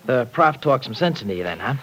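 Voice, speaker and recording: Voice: medium pitch, big pitch swings, fast. An actor playing a breezy, nosy young American pal in an old-time radio serial. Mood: breezy, curious. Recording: dull, lo-fi old radio recording.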